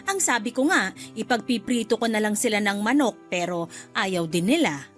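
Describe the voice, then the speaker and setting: A woman's voice: mid-range voice, lively intonation, moderate pace, soft background music, close to an everyday voice. A woman playing a chatty, bluntly nosy middle-aged mother-in-law in a Filipino radio drama, with a Filipino accent. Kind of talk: Tagalog radio drama acting.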